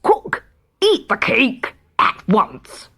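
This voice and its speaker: A fat, greedy king from a children's story, put on by a woman reader. He is imperious and impatient, barking exclamations with a very lively, swooping melody and a breathy edge. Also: a little high, very slow, heavily acted. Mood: cross, bossy. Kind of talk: storybook character voice.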